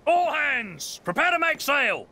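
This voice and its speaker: Casting: sly pirate captain, florid slurred swagger, bright edge, very animated pitch, video game. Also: male voice, slow pace, a little hiss or hum, full character voice. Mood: commanding.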